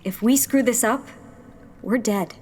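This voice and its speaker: A woman's voice: fairly high pitch, measured pace, a little hiss or hum, close to an everyday voice. A woman playing a determined young rebel engineer in a sci-fi audio drama, earnest and taking charge as she explains the plan. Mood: focused. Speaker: rebel leader. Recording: loud music over the voice.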